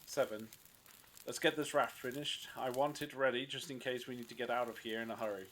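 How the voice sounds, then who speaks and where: A man's voice: mid-range voice, steady tone, brisk, fairly bright tone, close to an everyday voice, poor audio. Man acting a bossy, sarcastic captive leader, faint hiss. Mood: commanding. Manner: sarcastic, domineering. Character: bossy, suspicious, practical man stranded among captives. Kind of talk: fan audio drama acting.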